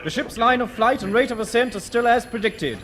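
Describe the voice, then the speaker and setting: A man's voice: very high-pitched, brisk, a bit bright. A man playing an obedient technician giving formal, procedural reports in a 1950s radio drama. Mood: businesslike. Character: obedient technician reporting tracking data to his commander.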